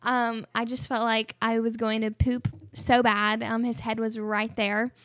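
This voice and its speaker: A woman's voice: a little high, little pitch movement, a little slow, a bit bright, dry recording, clean studio sound. A woman in her early twenties co-hosting a casual podcast, telling a story candidly with bits of laughter. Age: 20s. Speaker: podcast co-host. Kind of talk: casual chat.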